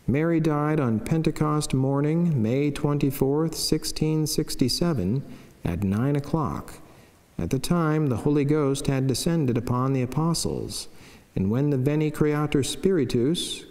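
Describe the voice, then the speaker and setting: A middle-aged man with a soft, mellow voice reads a devotional text as a friar would, solemn and measured. He speaks into a lectern microphone, and the chapel adds a long reverb around his words. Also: mid-range voice. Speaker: Franciscan friar and priest. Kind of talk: devotional reading of a saint's life, scripted. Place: wood-panelled chapel, indoors.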